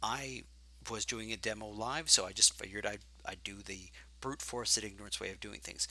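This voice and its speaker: Gravelly, bright-toned man lecturing on code. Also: fairly low pitch, fairly even intonation, normal speed. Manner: thinking aloud, self-correcting. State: getting hoarse. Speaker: programming lecturer. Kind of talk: live coding lecture.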